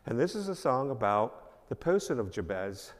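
A man's voice: medium pitch, big pitch swings, moderate pace. An older man with a New York accent, a minister giving a rambling, self-deprecating introduction at a church service, full of fillers. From New York, Long Island. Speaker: guest speaker, minister. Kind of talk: informal church address. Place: church sanctuary. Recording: long reverb of a church hall.

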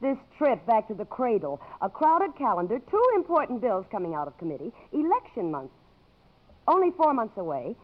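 A sardonic, unimpressed secretary in a 1950s radio comedy, tossing out dry wisecracks. The pitch swings in a very lively way, and the old broadcast recording is muffled. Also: female voice, normal speed. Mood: dry, teasing.

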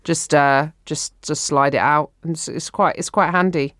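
A woman's voice: sits low, steady tone, normal speed, fairly bright tone, no room sound, studio quality. A woman guest co-hosting a comedy podcast, riffing bawdily in rambling, stammering bursts with frequent restarts. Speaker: guest co-host. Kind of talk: improvised comedy banter. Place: studio in New York.

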